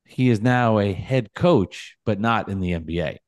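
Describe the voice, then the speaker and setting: A man's voice: fairly low pitch, moderate pace, a little mellow, dry recording, studio quality. A man in his forties hosting a casual sports podcast, teasing his co-hosts and running a trivia quiz. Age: 40s. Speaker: podcast host.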